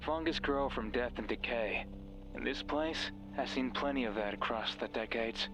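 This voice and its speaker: A sinister, mysterious male voice from a horror game, acted out by a man, at a middling pitch with music clearly playing behind it. He is deliberate and insinuating, a false friend who manipulates and taunts. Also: natural voice, rough recording. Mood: ominous. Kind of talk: dramatic horror game voice acting. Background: background music.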